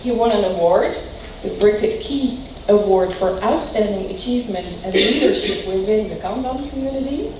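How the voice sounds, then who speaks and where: A woman hosting an event, introducing the speaker in a halting way with many fillers. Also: mid-range voice, a little mellow, slight background noise. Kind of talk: speech introduction. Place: large hall. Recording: echoey hall from far off.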